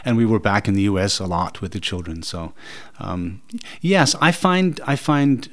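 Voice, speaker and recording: Soft-spoken man, slightly low. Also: lively intonation, normal speed. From Norway. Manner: thoughtful, hesitant with many fillers. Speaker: guest, festival director. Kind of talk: radio interview answers. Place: radio studio.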